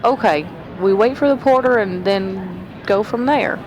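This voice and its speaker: A woman's voice: unhurried, a crowd in the background, natural voice, rough recording. An actress playing a bold, inquisitive lady amateur sleuth on a train in an audio drama, direct and questioning. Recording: clear vehicle-like background rumble.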